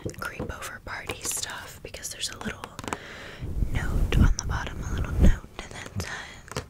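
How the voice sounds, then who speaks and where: Female ASMR host, gentle and chatty, whispering throughout at a very unhurried pace. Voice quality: breathy unvoiced whisper. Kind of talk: whispered ASMR product show-and-tell.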